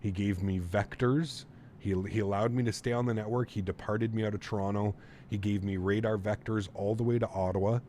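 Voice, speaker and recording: Relaxed low-voiced man chatting on stream. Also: brisk, a little hiss or hum. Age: 30s. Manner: relaxed, chatty, thinks aloud with fillers. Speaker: flight sim streamer. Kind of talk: casual live-stream chat reading viewer comments.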